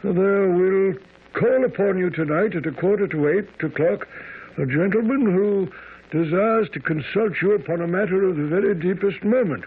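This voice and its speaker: A genial, easily amazed gentleman doctor in a radio play. His high, slightly rough voice has a hearty, old-fashioned manner. The sound is narrow vintage radio. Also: moderate pace. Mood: reading aloud. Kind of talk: radio drama acting and narration.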